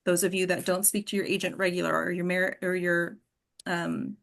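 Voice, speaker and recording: A woman's voice: on the low side, level tone, normal speed, creaky, fairly bright tone. A woman hosting an informal workshop discussion as an acting coach, instructive and often checking in with the group. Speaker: host and acting coach leading a table read discussion.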